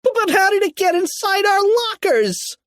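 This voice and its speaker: A man voicing a whiny, terrified school bully who wails and yells, in an audiobook. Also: very high-pitched, sing-song, normal speed, no room sound, clean studio sound, voice pushed up, exaggerated voice. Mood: bewildered. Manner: wailing, yelling. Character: whiny, terrified school bully boys, easily reduced to tears.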